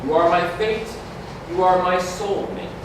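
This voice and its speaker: A grand, solemn officiant, played by a man proclaims mystical wedding vows in a staged masque. The voice is high and slow, incantatory, and carries from a distance through a very echoey hall. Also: steady tone. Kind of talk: staged reading of a poetic masque. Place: community hall, live staged reading. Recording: very echoey hall from far off, noisy.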